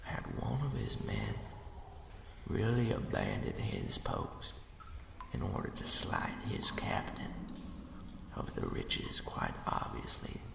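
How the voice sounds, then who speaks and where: Whispering, gravelly man telling ghost stories. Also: rumbling low, huge pitch swings. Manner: slow, hushed, dramatic storybook delivery. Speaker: storyteller. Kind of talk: whispered ASMR ghost story narration. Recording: echoey, long cavernous reverb.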